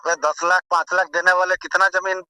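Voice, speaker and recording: A man's voice: sits high, flat delivery, a little fast, pushed and bright, clear, resonant tone, no echo. A man talking colloquially on a phone call as a property dealer, flustered, repeating himself and blurting things out. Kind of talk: colloquial, agitated phone conversation.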